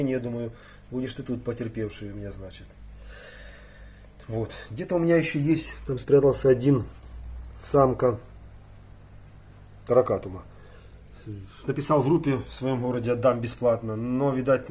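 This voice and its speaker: A man giving a casual, rambling hobby vlog in colloquial, slangy speech, thinking aloud and often clearing his throat between phrases. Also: medium pitch, darkish tone. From Ukraine. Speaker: hobby aquarium vlogger. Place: indoors in a room with aquariums. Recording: noticeable background noise.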